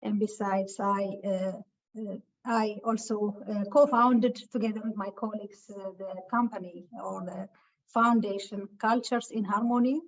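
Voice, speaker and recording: A woman from Ethiopia giving a webinar talk over a video call, speaking haltingly with many filler sounds. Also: medium pitch, fairly even intonation. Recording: muffled lo-fi call audio.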